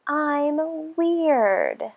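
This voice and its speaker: A woman's voice: high-pitched, expressive, extremely slow, creaky, phone-quality sound, pitched above the performer's own voice, heavily acted. A woman voicing a shy, self-conscious green male monster in a children's storybook, speaking hesitantly. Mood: anxious, self-conscious.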